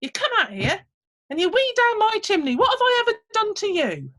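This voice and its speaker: A woman voicing a tiny, cross fairy man with a high, sharp, scolding voice. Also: big pitch swings, normal speed. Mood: indignant.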